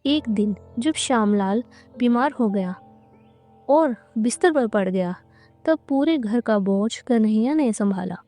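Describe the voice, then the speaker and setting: A woman narrating a scripted moral story in a measured, dramatic storytelling manner. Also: fairly high pitch, animated, mellow, faint music behind.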